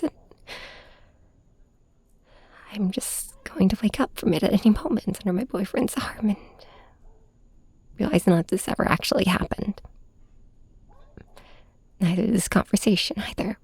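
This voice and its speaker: Breathy, heartbroken young superhero played by a woman. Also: mid-range voice, darkish tone, no echo, heavily acted. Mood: quiet, dazed. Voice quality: breathy, often dropping to a whisper. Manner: halting, sighing, emotionally raw. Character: heartbroken young superhero confiding in their villain nemesis. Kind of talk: intimate ASMR audio drama monologue. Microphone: close microphone, dry.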